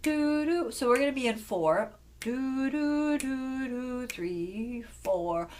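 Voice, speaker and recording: A woman teaching a singing exercise, calmly explaining and then singing solfège steps with a piano. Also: high voice, slow pace, a little hiss or hum. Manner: relaxed explaining, then sings solfège scales along with piano. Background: piano playing.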